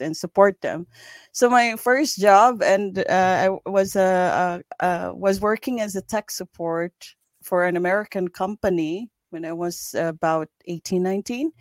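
A woman's voice: mid-range voice, no echo. A woman from the Philippines in her thirties, a developer answering interview questions in an earnest, reflective way with light laughs. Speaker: podcast guest, developer.

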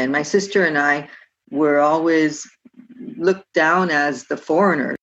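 A woman from Southern California, a historian, answering interview questions in a halting way with many restarts. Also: sits low, highs cut off. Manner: halting, with frequent restarts and filler words. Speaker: guest historian and guide. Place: remote, home in Palermo.

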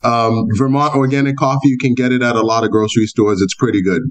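A young man hosts a relaxed podcast with plenty of playful teasing and slang. His voice sits at a middle pitch, and he tells stories with easy energy. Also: quick pace. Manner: playful, animated, slangy banter. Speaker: podcast host. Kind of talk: casual conversational podcast.

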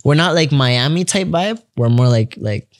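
A man's voice: a little high, expressive, moderate pace. A young man with a Toronto accent, a rapper guest on a podcast, talking in a laid-back, slangy way and often calling the host "fam.". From Canada. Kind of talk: casual chat.

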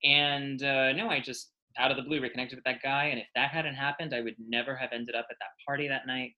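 An American man from New York chatting casually as a podcast guest, telling personal anecdotes in an animated, self-mocking way with plenty of swearing. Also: medium pitch, a little fast, lo-fi sound. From New York City, United States.

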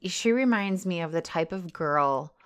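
A woman's voice: lowish voice, animated, a little slow, clean tone. A woman with a Wisconsin accent co-hosting a podcast, chatting casually and reading listener stories aloud. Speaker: podcast co-host. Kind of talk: casual chat.

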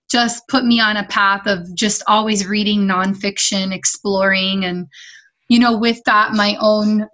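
A woman in her forties from Miami, a podcast guest who tells her story in a chatty, animated way. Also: medium pitch, low-quality recording. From Miami, Florida. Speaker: podcast guest, wellness platform founder. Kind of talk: casual interview.

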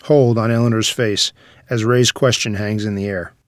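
A man's voice: lowish voice, fairly even intonation, moderate pace, no echo, clean studio sound. A man narrating the stage directions of a screenplay at a table read.

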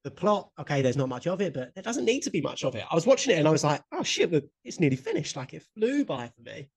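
Chatty opinionated man, slightly high. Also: very animated, fast talker, a little mellow, husky. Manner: chatty, opinionated, swears casually. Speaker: podcast co-host. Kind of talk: casual chat.

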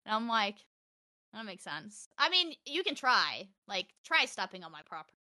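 A young woman from Texas chatting on a podcast, loud and animated, telling stories fast with a close mic. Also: medium pitch, no room sound. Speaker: podcast guest, streamer. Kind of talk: casual podcast chat.

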